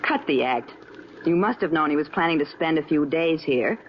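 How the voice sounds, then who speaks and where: A woman's voice: deep voice, lively intonation, normal speed. An American actress playing a catty, scornful woman in old radio drama, sardonic and condescending with mock-sweet endearments. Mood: catty, taunting. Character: catty, taunting woman, scornful romantic rival. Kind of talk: 1940s-50s radio drama acting. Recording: dull, noisy old radio recording.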